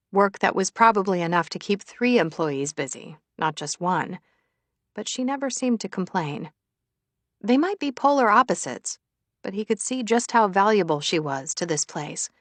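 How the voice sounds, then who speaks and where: A woman's voice: medium pitch, no echo. A woman reading a romance novel aloud as a scripted audiobook narrator.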